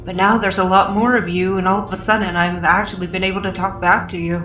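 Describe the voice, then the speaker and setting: A woman's voice: mid-range voice, flat delivery, fast talker, a bit bright, reverberant, far from the mic, natural voice, rough recording. A woman voicing a weary but polite young male adventurer in a comic fan dub. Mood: matter-of-fact. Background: music.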